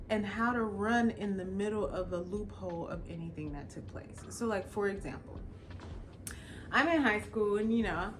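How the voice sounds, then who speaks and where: A young adult woman, a lawyer from Los Angeles whose first language was Jamaican Patois, tells her own story in a casual, expressive way and acts out the lines of people she quotes. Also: medium pitch, slight background noise. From Los Angeles, California. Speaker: attorney. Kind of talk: personal storytelling.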